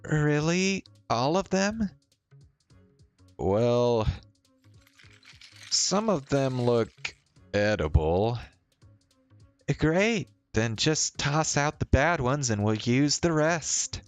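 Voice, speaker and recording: Guy doing a chirpy casual young woman for a visual novel, voice lifted higher, dry sound. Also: sing-song, drawn-out words, pauses often, smooth, no room sound, faint music behind. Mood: matter-of-fact. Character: upbeat, casual young woman keen on cooking.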